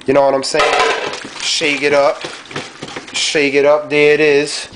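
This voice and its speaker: A young man in a dim home kitchen talking through his cooking in a relaxed, slangy way, recorded on a handheld camera mic. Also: fairly high pitch, unhurried, very noisy. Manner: laid-back slangy chatter, frequent "you know what I'm saying". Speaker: home cook vlogger. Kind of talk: casual cooking vlog. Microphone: handheld camera mic held close.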